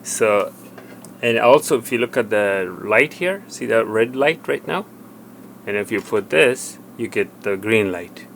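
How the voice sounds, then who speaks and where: Male tutorial presenter, lower register, halting and plain-spoken hands-on walkthrough, faint background noise. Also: expressive, creaky, a bit bright. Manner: halting, plain step-by-step explaining. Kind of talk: hands-on product demonstration, unscripted.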